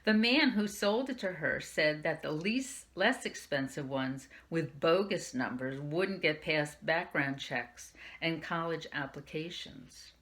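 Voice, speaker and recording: A woman's voice: deep voice, takes it slowly, hardly any pauses, a bit bright. A woman librarian reading a young adult novel aloud in the first person, lifting her voice for the quoted lines. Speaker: youth services librarian.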